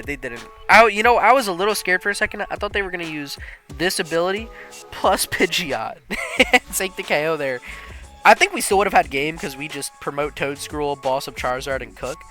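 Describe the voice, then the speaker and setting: Bright-voiced, sniffly young man gaming. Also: fairly high pitch, moderate pace, soft background music. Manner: thinks out loud, casual slang, bursts of excited laughter. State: a little sniffly from allergies. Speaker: card game streamer. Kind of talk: live commentary while playing an online card game.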